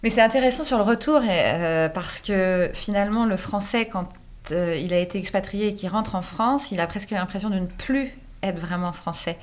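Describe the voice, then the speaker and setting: A woman's voice: mid-range voice, normal speed. Engaged woman interviewer. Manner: engaged, asks probing questions. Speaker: radio host. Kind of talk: interview and narrated report.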